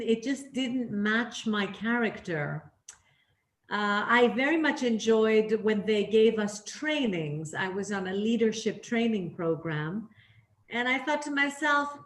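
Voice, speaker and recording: Middle-aged woman, grew up in canada, tells her story candid and reflective, lots of ums, roomy echo. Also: a little high. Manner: reflective, candid, with frequent fillers and restarts. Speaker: interview guest, leadership trainer. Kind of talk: conversational storytelling interview. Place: living in Greece. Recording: echoey room.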